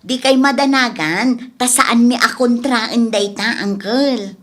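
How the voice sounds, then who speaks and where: A woman's voice: a little high, slow, a bit bright. A woman playing a nosy, gossipy matchmaker who teases her elders in a radio comedy drama. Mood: teasing, prying. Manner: gossipy, teasing.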